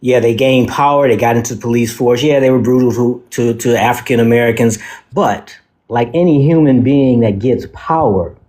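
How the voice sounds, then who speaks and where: A man's voice: mid-range voice, moderate pace, a little mellow. Brief: combative male panelist, Detroit accent, mid-range voice. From Detroit, Michigan. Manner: defiant, combative. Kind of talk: heated panel debate.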